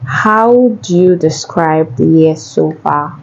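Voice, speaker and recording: A woman's voice: deep voice, slow pace, vocal fry, muffled recording, slight background noise. A young Nigerian woman with a Nigerian accent, answering viewer questions in a casual, chatty way and often repeating herself. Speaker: vlogger.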